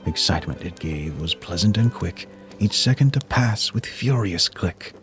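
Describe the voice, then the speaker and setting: A man storyteller reading a rhyming Christmas poem in a solemn, measured way. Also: low voice, animated. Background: music.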